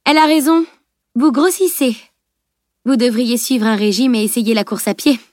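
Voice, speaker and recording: Woman as teenage girl, sharp-tongued, clever, bossy, raised pitch, close dry sound, audiobook dialogue. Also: steady tone, a little fast, no room sound, lightly acted. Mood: defiant, sarcastic. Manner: commanding, sarcastic, impatient.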